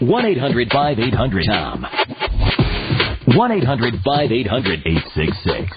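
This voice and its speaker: A man delivers a radio show announcement at a slow pace, with a hugely animated swing in pitch. Loud music plays under him, and the broadcast sound is dull. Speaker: station announcer.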